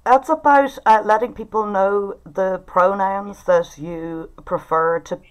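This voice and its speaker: A woman's voice: mid-range voice, fairly even intonation, slow, clear, resonant tone, poor audio. A woman with an Irish accent, informally hosting an online group discussion over a video call. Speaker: writers' group facilitator and event host. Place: indoors at home.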